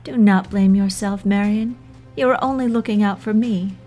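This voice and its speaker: A woman's voice: mid-range voice, steady tone, moderate pace, warm tone, lo-fi sound, faint music behind, natural voice. A woman voicing a frail, confused young woman who is trembling and pleading, in a storybook reading. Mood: soft, pleading. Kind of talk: audiobook character voice.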